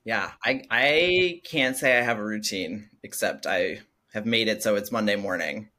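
A man's voice: lowish voice, moderate pace, bright, forceful tone. A man in his thirties, a podcast guest chatting in an easygoing, hesitant way. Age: 30s. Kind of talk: casual chat.

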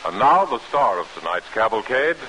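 A man's voice: lowish voice, very animated, moderate pace, bright, forceful tone, a little hiss or hum, rough recording. An American man announcing a 1940s radio show from a script in a formal, polished style, on a vintage broadcast recording. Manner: formal, polished address to the audience.

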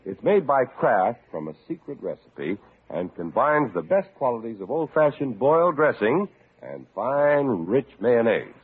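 A man's voice: medium pitch, sing-song, measured pace, slightly rough. A man working as a radio announcer, with a polished, salesmanlike 1940s delivery. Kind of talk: 1940s radio ad and story narration. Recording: dull, lo-fi old radio recording.

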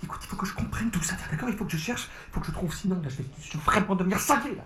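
Audio drama role: frantic, haunted man, insistent, wildly varied pitch, some noise on the track. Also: animated, racing, a bit bright. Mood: pleading. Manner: agitated, insistent repetition. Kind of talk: French audio fiction acting.

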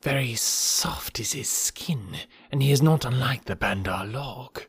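A man voicing a huge old python, coolly menacing, who speaks in a slow, drawn-out hissing whisper for a storybook. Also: medium pitch, expressive, a bit bright, slightly rough, dry recording, voice pushed up, exaggerated voice. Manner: slow, drawn-out, deliberate speech.

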